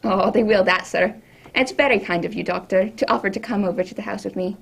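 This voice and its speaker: Woman playing kindly housekeeper in radio play, creaky voice, homely and polite. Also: medium pitch, brisk. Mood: kindly, grateful. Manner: homely, respectful. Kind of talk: radio drama acting.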